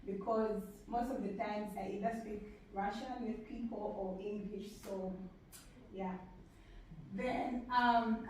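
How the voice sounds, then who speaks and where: A woman's voice: mid-range voice, a little mellow. Echoey young Zambian woman presenting. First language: a Zambian language. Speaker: student panelist. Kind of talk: informal presentation to an audience. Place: large echoey room at a public event. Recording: very echoey room, distant mic, faint noise.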